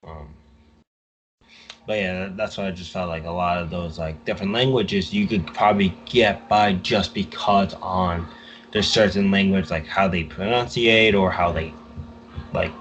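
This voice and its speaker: A young man with a low voice chats on a podcast. His sentences wander and restart, filled with hedging as he works toward his point. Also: dull audio. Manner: rambling, hedging with constant "like". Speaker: podcast co-host. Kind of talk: casual conversation.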